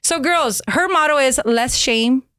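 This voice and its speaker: A woman's voice: high-pitched, a little slow, fairly bright tone, clear, resonant tone, no room sound, studio quality. A woman co-hosting a podcast, playful and enthusiastic, teasing and laughing often. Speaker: podcast co-host.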